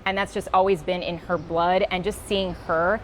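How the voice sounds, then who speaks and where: A woman's voice: on the low side, flat delivery, normal speed, a crowd in the background, low-quality recording. A woman, a news anchor, speaking earnestly and emphatically in a casual, heartfelt panel conversation. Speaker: TV news anchor and working mother.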